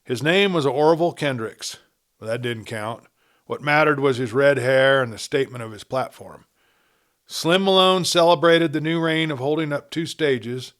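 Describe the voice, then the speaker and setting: Guy reading an old west story aloud, measured storyteller delivery, mid pitched voice, leans into the big moments. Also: fairly bright tone. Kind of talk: dramatic scripted narration of a western tale.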